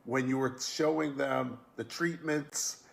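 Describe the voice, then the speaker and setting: A man's voice: medium pitch, steady tone, slow pace, creaky. A man hosting an online interview, speaking enthusiastically and praising the guest's work. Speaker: interviewer host.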